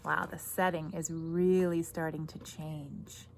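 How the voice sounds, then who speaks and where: Unhurried woman reading to children. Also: low-pitched, creaky, a little hiss or hum. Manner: expressive, stressing key words, with asides and questions to young listeners. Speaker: teacher reading a picture book aloud. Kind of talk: children's read-aloud with commentary on the pictures. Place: outdoors in a garden.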